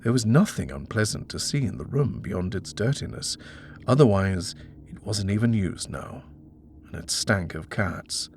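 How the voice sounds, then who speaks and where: Guy narrating a horror audiobook, lowish creaky voice, bit of background noise. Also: lively intonation, a little slow. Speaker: audiobook reader. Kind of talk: scripted horror story narration.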